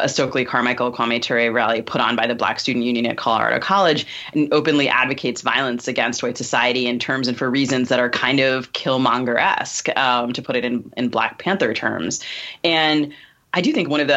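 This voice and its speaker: A woman with a strikingly bright, forward voice offers analysis in a casual podcast discussion. She is thoughtful and halting, hedging as she goes. The recording is a little muffled. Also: very deep voice. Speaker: podcast host.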